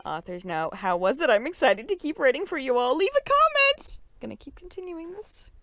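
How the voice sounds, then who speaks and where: A woman acts out a giddy teenage girl author reading a story of her own. She is breathless and melodramatic, jumping up into excited shrieks. The sound is close and dry. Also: high-pitched, normal speed, no echo, pitched above the performer's own voice, exaggerated voice. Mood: gushing. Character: gushing teenage girl fanfiction author, giddy and over-dramatic.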